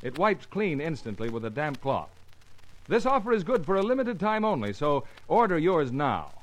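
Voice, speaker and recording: An American man with a fairly high voice and animated intonation. He announces in the polished selling style of 1950s radio commercials. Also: moderate pace, rough recording. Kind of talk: 1950s radio advertising pitch.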